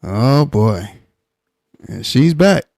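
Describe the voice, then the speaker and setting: An insistent man hosting a live online panel, pressing hard on a heated point. His voice sits at an ordinary middle pitch, and the sound is dry and close with little room echo. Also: expressive, very slow, creaky, darkish tone. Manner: firm, insistent, repeats himself. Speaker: live stream host. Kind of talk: heated live stream argument.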